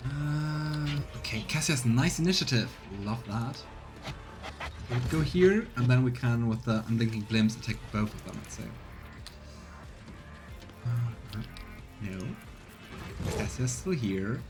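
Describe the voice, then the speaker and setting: A man's voice: mid-range voice, measured pace, pauses often. A man doing casual let's play commentary, chatting as he thinks aloud and reads game text. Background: faint game music.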